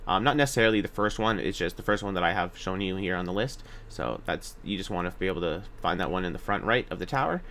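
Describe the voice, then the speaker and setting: Man, lowish voice, casual gaming walkthrough, hesitant and self-correcting, noticeable background noise. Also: quick pace, few pauses, fairly bright tone. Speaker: gaming video guide narrator. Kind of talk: casual game walkthrough commentary.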